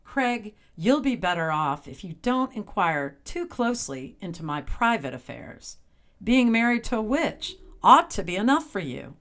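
A woman's voice: mid-range voice, big pitch swings, measured pace, fairly bright tone. An actress playing a possessive, sly witch wife in a radio drama, with a commanding, teasing, coolly menacing manner. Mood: coy, teasing. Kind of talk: old-time radio drama acting.

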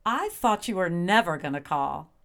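A woman's voice: on the low side, expressive, moderate pace, fairly bright tone. An actress playing a possessive, sly witch wife in a radio drama, with a commanding, teasing, coolly menacing manner. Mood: coy, teasing. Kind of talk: old-time radio drama acting.

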